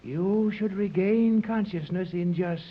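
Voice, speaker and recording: Slow-spoken imperious villain, old radio serial. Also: male voice, high voice, slight background noise. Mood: gloating menace. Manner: menacing, condescending, formal and measured. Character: imperious villain commander of island with ray gun. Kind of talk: 1930s radio serial acting. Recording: dull, muffled 1930s radio recording.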